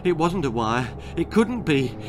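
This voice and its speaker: An older man tells a spooky, funny children's tale. He is deliberate and theatrical, taking his time to build suspense, with music clearly playing behind him. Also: on the high side, big pitch swings. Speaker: author reading his own story. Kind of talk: dramatic children's horror-comedy storytelling. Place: book-lined room indoors.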